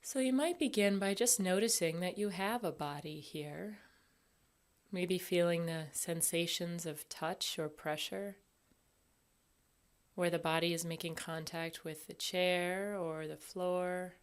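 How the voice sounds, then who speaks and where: Low-pitched woman guiding a meditation. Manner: gentle, unhurried, with long pauses. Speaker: mindfulness meditation instructor. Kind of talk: guided body scan meditation.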